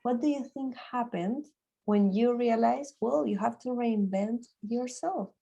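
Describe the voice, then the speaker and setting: A woman hosting an interview in English, asking halting questions full of ums. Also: fairly high pitch, creaky, mellow, dull audio. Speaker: host. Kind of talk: casual interview.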